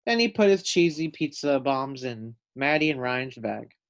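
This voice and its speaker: A man's voice: medium pitch, lively intonation, moderate pace. A man reading a children's storybook aloud, adding simple explanatory asides for young listeners. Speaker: storybook reader.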